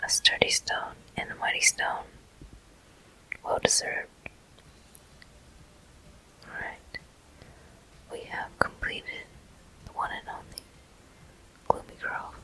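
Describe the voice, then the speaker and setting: A gameplay host speaks entirely in a breathy whisper for ASMR. The sound is very bright and airy, with no real voice behind it. The delivery is soft and unhurried, gently narrating each step of play. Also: rough recording. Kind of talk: ASMR whispered game commentary.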